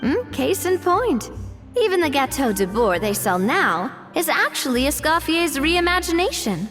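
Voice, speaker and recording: A perceptive, teasing friend in a video game. The voice is high and lively, delivered with wry familiarity. Faint music sits underneath. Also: female voice, normal speed. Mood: knowing.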